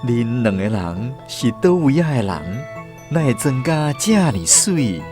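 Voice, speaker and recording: A man's voice: mid-range voice, big pitch swings, measured pace. A man acting in a Taiwanese radio drama as an earnest, troubled lost soul who questions and ponders as he searches for peace. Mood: curious. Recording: music bed under the voice.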